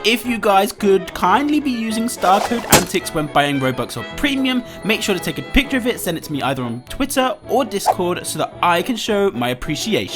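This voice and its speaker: A young man with a congested, nasal voice from a cold, presenting gaming commentary straight to his viewers. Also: high-pitched. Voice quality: nasal, bunged up. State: congested with a cold, sore throat. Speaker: gaming YouTuber presenting a countdown. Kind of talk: upbeat YouTube gaming commentary. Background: background music.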